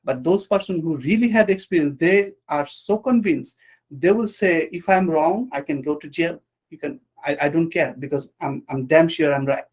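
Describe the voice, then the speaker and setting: A man from Bangladesh hosting a podcast interview, speaking earnestly and haltingly with many restarts. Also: a little high, darkish tone, rough recording. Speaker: podcast host. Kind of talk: conversational interview.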